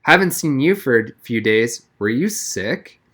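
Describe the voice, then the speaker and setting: A man's voice: medium pitch, lively intonation, measured pace, a bit bright. A man reading a storybook as a chatty, easily impressed schoolgirl who tends to exclaim. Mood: curious.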